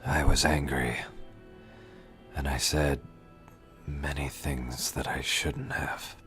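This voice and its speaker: A man playing a gruff, guilt-ridden, taciturn monster hunter in an audio drama, speaking in terse, halting phrases. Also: very deep voice, little pitch movement, fairly bright tone, soft background music, heavily acted. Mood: remorseful, reluctant.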